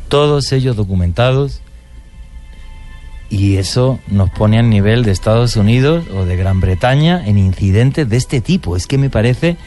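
A man hosting a radio talk show about mysteries, talking with animated enthusiasm. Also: on the low side, normal speed, soft background music. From born outside Colombia.

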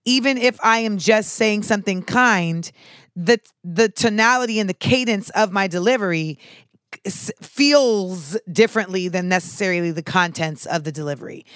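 A woman talking casually to her viewers in a sharp, clipped way, with casual swearing. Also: mid-range voice, moderate pace, clean tone, no echo, clean studio sound. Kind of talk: casual monologue to camera.

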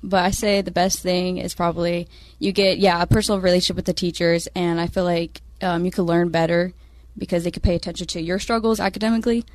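A woman's voice: fairly low pitch, level tone, fast, darkish tone, no room sound. A nineteen-year-old woman, a graduating student guest, answering casually.

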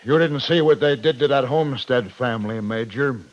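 An American actor playing a headstrong, blunt cavalry captain with clipped military formality, in a 1950s radio western. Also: male voice, mid-range voice, moderate pace, natural voice. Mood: urgent, insistent. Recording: dull lo-fi 1950s radio recording.

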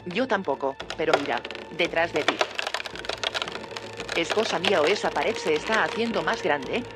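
An actress playing a cautious, measured woman scientist in a naturalistic audio drama. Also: lowish voice, animated, over loud music, close to an everyday voice. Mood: alarmed. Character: uneasy, cautious woman scientist who reads thoughts.

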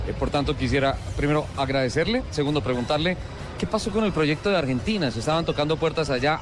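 A man's voice: a little high, noisy background. A man hosting a live motorsport radio interview at an auto show. He teases his guests playfully, then turns earnest and encouraging. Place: auto show pavilion booth.

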